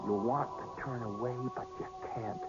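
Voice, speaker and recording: An actor playing an obsessive, defensive carnival worker in a radio drama, with a curt, cocky manner. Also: male voice, medium pitch, normal speed, loud music behind the voice, natural voice. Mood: brooding, intense. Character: obsessive, defensive carnival ride worker turned brooding mystic. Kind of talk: 1980s radio drama acting. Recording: muffled, noisy old radio broadcast.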